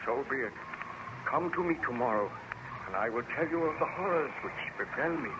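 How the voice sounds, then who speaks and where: A man's voice: mid-range voice, lively intonation, brisk, close to an everyday voice. An actor playing a weary, haunted baron who tells his tragic story in a formal, theatrical 1930s radio style. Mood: exhausted. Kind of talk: 1930s radio drama acting. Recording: muffled, noisy old radio recording.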